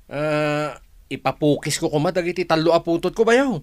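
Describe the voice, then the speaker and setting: A man's voice: fairly high pitch, animated, moderate pace, close to an everyday voice. Man acting as Jojo, a wheedling brother-in-law. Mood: coaxing. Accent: northern Philippine Ilocano. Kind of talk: Ilocano radio drama acting.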